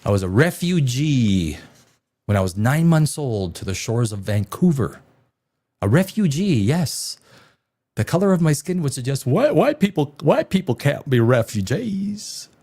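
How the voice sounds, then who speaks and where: A man's voice: mid-range voice, very animated, measured pace, warm tone. A man hosting a livestream alone, talking off the cuff in a voice that rises and falls a lot. He jumps between tangents, restarts his sentences and swears freely. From Czech Republic. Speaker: livestream host. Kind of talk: rambling solo livestream talk.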